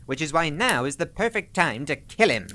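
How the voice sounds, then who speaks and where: An actor playing a cold, calculating villain doctor in a radio play, formal and deliberate, on a slightly noisy recording. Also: medium pitch, big pitch swings, pushed and bright.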